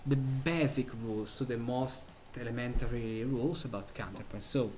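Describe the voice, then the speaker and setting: Italian-accented man, halting and lively. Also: mid-range voice, slight background noise. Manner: halting, many restarts, laughs easily. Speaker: host. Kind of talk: casual discussion of a music treatise.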